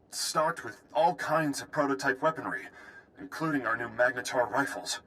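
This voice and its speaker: An actor playing a gruff, duty-bound prison station officer in a video game, giving brisk military briefings. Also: male voice, mid-range voice, fairly bright tone, natural voice, poor audio. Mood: brisk, businesslike.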